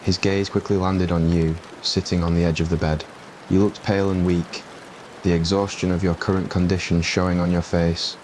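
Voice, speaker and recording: A man's voice: low-pitched, flat delivery, normal speed. A man reading scripted narration for an ASMR roleplay story. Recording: clear rain noise behind voice. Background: rain ambience.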